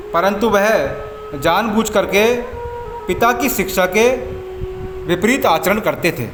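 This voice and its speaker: A man narrating a scripted religious story as a devotional storyteller, in measured, formal phrases with frequent pauses. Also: high-pitched, noisy background. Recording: echoey voice over background music. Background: background music.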